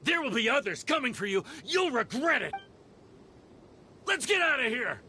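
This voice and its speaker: A bitter mercenary villain in a video game, shouting menacingly. The voice is very high and piercing, swinging in pitch, with faint music behind. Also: male voice, very animated, moderate pace, a little hiss or hum, heavily acted. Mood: angry, threatening. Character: defeated male mercenary villain, threatening, bitter.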